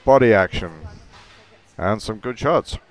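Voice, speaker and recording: A man's voice: on the low side, expressive, slow. A man giving an informal voice-over narration for a home holiday video, with some noise in the recording.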